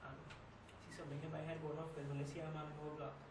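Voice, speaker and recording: High-voiced, shaken Trinidadian cashier, acted by a man. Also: normal speed, lightly acted. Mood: uneasy, hushed. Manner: colloquial, repetitive, emphatic. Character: shaken young restaurant cashier recounting a trauma. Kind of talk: dramatic storytelling monologue, amateur stage acting. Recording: echoey room, distant mic, faint noise.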